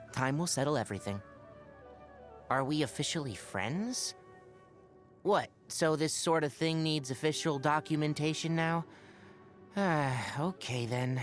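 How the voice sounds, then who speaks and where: An actor playing a young fox-eared forest ranger in a video game, scholarly and patient, speaking in an articulate, instructive and gently wry way. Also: male voice, fairly high pitch, lightly acted. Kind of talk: scripted game character voice lines.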